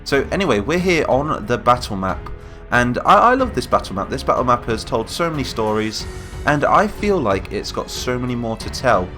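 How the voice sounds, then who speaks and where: A man's voice: mid-range voice, very animated, normal speed, bright, forceful tone, soft background music. A man with a cold and a nasal voice, giving chatty, rambling commentary over a battle-simulation game and correcting himself as he goes. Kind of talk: casual gameplay commentary.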